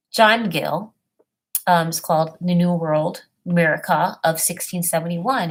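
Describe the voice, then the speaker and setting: Woman rambling through history notes. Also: on the low side. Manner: meandering, stumbles over old words and names. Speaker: self-made history presenter. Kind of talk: casual talk and halting reading aloud.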